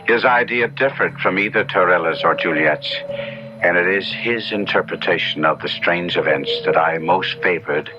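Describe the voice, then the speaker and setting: A man's voice: low voice, moderate pace, noisy background, lightly acted. An actor playing a repentant, wounded young nobleman in a 1970s radio drama, speaking in an earnest, literary way. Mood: reflective, rueful. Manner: earnest, literary, self-reproachful. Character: repentant, wounded young Italian nobleman recounting his ordeal. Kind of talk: 1970s radio drama acting, first-person narration. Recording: dull 1970s radio recording with music.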